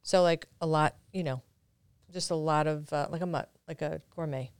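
Clear-voiced woman chatting as a guest. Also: sits low, steady tone, moderate pace, a little mellow, no echo. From Brooklyn, New York. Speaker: podcast guest. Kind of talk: casual interview chat.

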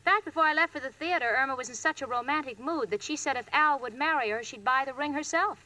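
An actress playing a sensible, exasperated young working woman with crisp theatrical delivery in 1940s radio comedy. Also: high voice, quick pace, sharp tone. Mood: fond, wry. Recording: faintly noisy old radio recording.

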